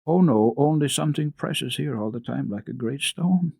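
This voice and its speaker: Here is a small, homesick girl from a classic storybook, acted out by a male reader. The voice is lifted high and slightly husky, timid and earnest. The words come haltingly, close to tears. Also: expressive, warm tone. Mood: sad, timid. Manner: halting, faint, tearful delivery.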